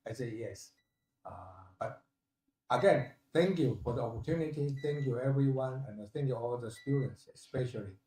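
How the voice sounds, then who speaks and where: An older man with a Taiwanese accent speaks haltingly and humbly, giving a thank-you address as a professor. His voice is soft-edged and mellow, recovering after he lost it, and the room echoes around him. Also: mid-range voice. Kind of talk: valedictory thank-you speech. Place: indoor hall at a university ceremony.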